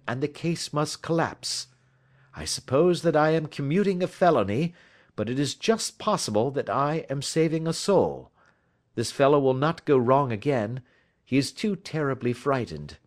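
Brief: male reader as a cool-headed detective, dry and deliberate. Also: fairly high pitch. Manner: measured, dry and authoritative. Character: cool, reasoning male detective, middle-aged. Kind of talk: audiobook reading.

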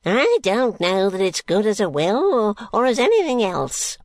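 A reader voicing a suspicious, half-deaf old male moneylender with a shrill, sharp voice and a snarling manner. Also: huge pitch swings, slow, no echo, clean studio sound, exaggerated voice. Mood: snappish. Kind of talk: audiobook character reading.

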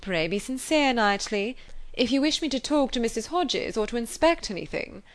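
A woman's voice: fairly high pitch, lively intonation, pitched above the performer's own voice, close to an everyday voice. A woman voicing a pompous, chattering married society lady who gushes and drags out her emphasis. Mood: bossy. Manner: gushing, self-important, drawn-out emphasis. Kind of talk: audiobook character reading.

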